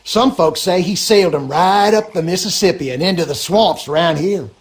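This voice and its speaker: An actor playing a gossipy, superstitious backwoods husband in a video game, with a rural Louisiana accent and folksy, colloquial talk. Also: male voice, high-pitched, moderate pace, lightly acted. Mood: friendly, storytelling. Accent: rural Louisiana Southern American. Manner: folksy, drawling, colloquial storyteller. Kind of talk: animated game character dialogue.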